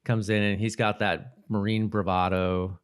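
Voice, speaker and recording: A man's voice: fairly low pitch, flat delivery, unhurried, smooth. A man hosting a podcast, chatting casually and giving commentary on an episode. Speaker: podcast host.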